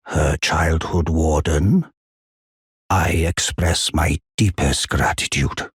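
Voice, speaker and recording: An old giant, a loyal war counselor from a fantasy video game. The voice sits very low and is thickly hoarse-edged, with slow, measured delivery in a close, dry sound. He speaks with solemn, old-world courtesy. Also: a little mellow, no echo, exaggerated voice. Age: older. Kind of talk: formal video game character acting.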